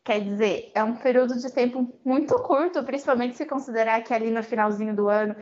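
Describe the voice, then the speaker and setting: A woman's voice: a little high, quick pace, darkish tone. Chatty Brazilian woman, muffled audio. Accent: Brazilian Portuguese. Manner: chatty storytelling with frequent fillers. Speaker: podcast guest. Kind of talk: casual interview chat.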